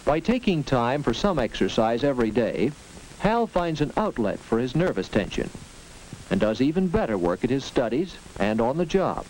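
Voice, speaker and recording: A man's voice: medium pitch, normal speed, low-quality recording. A man narrating a 1940s educational film, earnest and instructive, on an old soundtrack with faint hiss and clicks. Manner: earnest, instructive, lively delivery.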